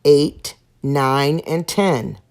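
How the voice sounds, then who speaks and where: A woman's voice: low-pitched, very slow, a little mellow, full character voice. A woman voicing a chatty, self-pleased male squirrel in a storybook, talking in a boastful way. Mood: busy counting.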